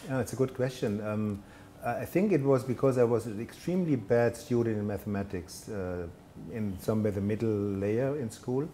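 Man, fifties, low-pitched, mellow tone, halting with fillers, studio interview, faint background hiss. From Germany. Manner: hesitant, self-deprecating, many fillers and restarts. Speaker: guest, telecom executive. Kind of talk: conversational interview. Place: studio set with brick wall, indoors.

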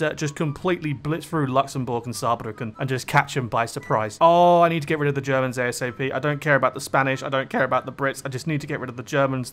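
A chatty young British man narrating his strategy game playthrough, pitched a bit above most men. He rambles, cracks jokes and laughs at his own mistakes. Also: a bit bright. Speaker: gaming YouTuber. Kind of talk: casual strategy game commentary.

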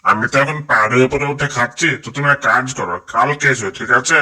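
A cautious, distrustful goat from a kids' cartoon, acted out by a man. The voice is middle-pitched and sharp, sounding a little off the microphone. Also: quick pace, recorded from a distance. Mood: guarded. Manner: suspicious, guarded. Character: wary, cautious male goat on a hilltop. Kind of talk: children's cartoon voice acting.

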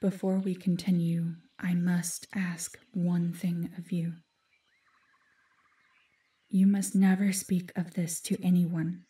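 A solemn forest guardian played by a woman speaks in measured, formal phrases that slip into breathy whispers. The voice is dark and mellow, slow, and a little distant from the mic. It suits a fantasy audio drama. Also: on the low side, flat delivery. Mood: grave, instructing.

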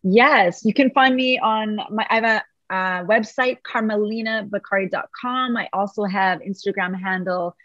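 A woman speaking quickly and with animation as a podcast guest, chatting in a remote interview. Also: mid-range voice, dry recording, lo-fi sound. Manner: fast, animated talker. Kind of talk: casual conversation.

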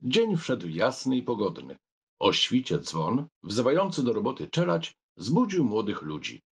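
A man narrates a literary audiobook. His voice is husky and of medium pitch, with lively, varied intonation as he reads. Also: measured pace.

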